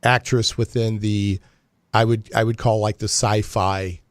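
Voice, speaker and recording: A man hosting a live radio show, chatting informally and haltingly, often repeating words as he goes. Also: on the low side, little pitch movement, no echo, studio quality. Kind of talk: casual live radio chat.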